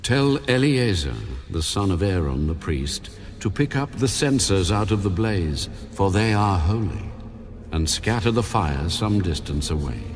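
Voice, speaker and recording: Deep, reverberant voice of God. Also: male voice, lively intonation, unhurried. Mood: solemn, commanding. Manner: commanding, solemn. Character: God, stern, majestic, all-powerful male deity. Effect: heavy reverb. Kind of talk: dramatized scripture acting. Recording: long cavernous reverb, music bed.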